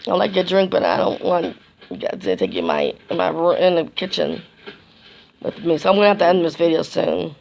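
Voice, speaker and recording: A woman chats to her vlog viewers in a hoarse, gravelly voice that is nearly gone. She rambles and keeps restarting her sentences. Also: lowish voice, lively intonation, a little mellow, slight background noise. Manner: rambling, halting, many restarts. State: hoarse, losing her voice from a sinus infection. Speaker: YouTube vlogger. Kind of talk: casual vlog chat to camera.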